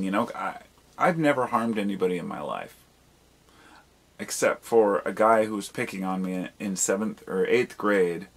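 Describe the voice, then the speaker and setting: Male vlogger, low-pitched and rough-edged husky voice, candid, reflective, loose conversational rambling with casual swearing. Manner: reflective, self-questioning. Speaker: video blogger. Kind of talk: rambling personal monologue, casual chat.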